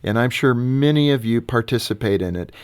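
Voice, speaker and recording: A man's voice: medium pitch, expressive, a little fast, darkish tone, clear, resonant tone, no echo, clean studio sound. A middle-aged man co-hosting a talk show, chatting in an easygoing way. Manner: easygoing, with frequent "uh" fillers. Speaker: radio co-host. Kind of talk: casual talk-show chat.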